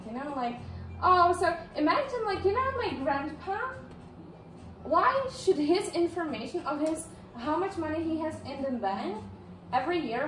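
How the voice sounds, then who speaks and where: A woman's voice: high-pitched, noisy background. A young woman giving a casual presentation at a meetup, chatty and full of fillers, laughing often as she talks. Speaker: speaker at a meetup. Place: large indoor room with projector screen. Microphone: far camera, no visible mic. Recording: echoey room audio from far off.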